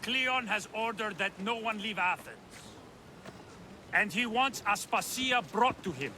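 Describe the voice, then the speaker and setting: A man's voice: high-pitched, expressive, measured pace, bright, forceful tone, a little hiss or hum, full character voice. An actor playing a commanding male Athenian guard calling out orders in a video game cutscene.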